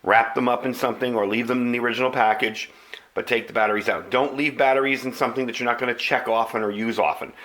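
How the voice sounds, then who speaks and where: A man with a bright, forceful voice at a middle pitch presents a product to his viewers. He speaks casually and conversationally, explaining things plainly as he goes. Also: fairly even intonation, quick pace. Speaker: video channel host. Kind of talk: casual product review to camera.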